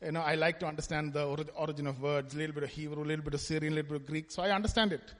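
Man, high voice, giving a chatty church testimony, echoing in a big hall. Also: steady tone, rapid-fire, a bit bright. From Kerala, India. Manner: conversational, tags lines with "right?". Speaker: church speaker. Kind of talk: personal testimony talk at church. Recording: long hall reverb.

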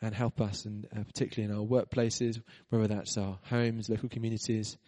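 A man's voice: fairly low pitch, little pitch movement, fairly quick, dark tone. A man introducing the speaker and then leading an earnest spoken prayer in church. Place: church hall. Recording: reverberant church hall.

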